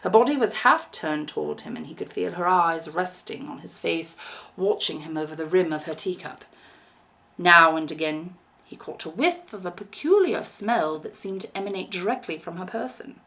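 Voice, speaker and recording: Bright, animated young woman reading a story. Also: lowish voice, normal speed, vocal fry. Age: young adult. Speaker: English teacher. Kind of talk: expressive story narration, read aloud.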